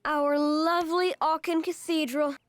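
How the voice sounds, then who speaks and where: A woman's voice: high-pitched, fairly even intonation, unhurried, no echo, faint music behind. A woman voicing a dramatic, imaginative schoolgirl in a cartoon, speaking in grand, theatrical language. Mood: wistful, dreamy. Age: child character. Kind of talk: animated series voice acting.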